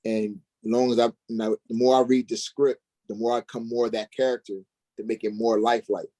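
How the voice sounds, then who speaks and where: A man's voice: mid-range voice, level tone, a little fast, dark tone. Folksy man with a mid-range voice. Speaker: stage actor, interview guest. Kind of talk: casual interview answers.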